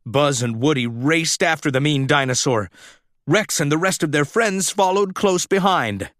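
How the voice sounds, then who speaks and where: A man narrating a scripted children's story in a dramatic, expressive, deliberate way. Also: a little high, dry recording, clean studio sound.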